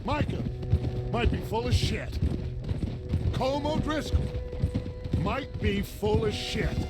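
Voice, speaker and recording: A grandiloquent, high-voiced outlaw leader. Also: male voice, lively intonation, slow pace, full character voice. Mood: impassioned. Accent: American. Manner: grandiloquent, oratorical, theatrical. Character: charismatic, idealistic American outlaw gang leader, grandly persuasive. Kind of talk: western video game voice acting. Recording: loud music bed behind the voice. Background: loud game music, horses' hooves.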